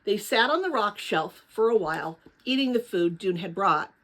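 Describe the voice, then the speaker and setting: A woman narrating a children's novel aloud in an expressive storybook style. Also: medium pitch, moderate pace.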